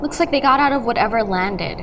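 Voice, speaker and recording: A woman's voice: a little high, brisk, fairly bright tone, highs cut off, slight background noise. A woman voicing a male state trooper in a school radio play, speaking in a dry, matter-of-fact way. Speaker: student actor.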